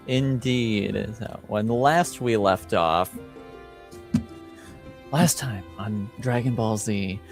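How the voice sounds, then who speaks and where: A man's voice: on the low side, extremely slow, pauses often. A man speaking casually and informally as game master and host, introducing a tabletop game stream. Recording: music behind the voice. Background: music.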